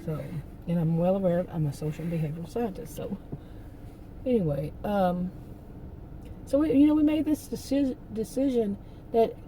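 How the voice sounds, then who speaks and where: A middle-aged woman, hoarse from losing her voice, rambling and venting in a casual vlog from a parked car. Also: medium pitch, lively intonation, moderate pace, long pauses, dark tone, slight background noise, poor audio. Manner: rambling, venting, with many restarts. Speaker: vlogger.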